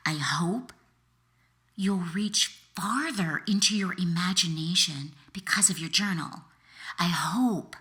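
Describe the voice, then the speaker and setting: A woman author reading her self-help book aloud as narration, speaking directly to the listener in deliberate, encouraging phrases with pauses. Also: fairly low pitch, a bit bright. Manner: direct second-person address, encouraging. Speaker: author reading an excerpt from their own book.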